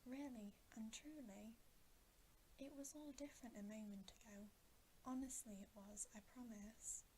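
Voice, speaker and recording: A woman voicing an earnest, excitable young storybook girl in a breathy, insistent half-whisper. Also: on the high side, measured pace, slight background noise, lightly acted, poor audio. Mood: pleading.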